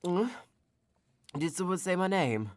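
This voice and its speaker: A man's voice: sits high, lively intonation, a little slow. A man acting a grumpy, sleepy autumn spirit with dry wit in a comedic radio drama. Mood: mild.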